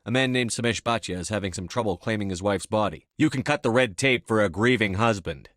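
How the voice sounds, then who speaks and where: A man's voice: on the low side, fairly quick, fairly bright tone, no echo, studio quality, close to an everyday voice. A man playing a commanding, no-nonsense military officer in a video game, speaking in a terse, direct, authoritative way. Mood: firm, insistent. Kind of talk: scripted game dialogue.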